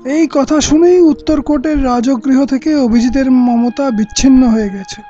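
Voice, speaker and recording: Man playing a stern, declamatory king, high-pitched. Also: moderate pace, warm tone. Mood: accusing. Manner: formal, declamatory. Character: stern, aggrieved king of a mountain kingdom. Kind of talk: Bengali radio play acting. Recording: dull lo-fi audio with music.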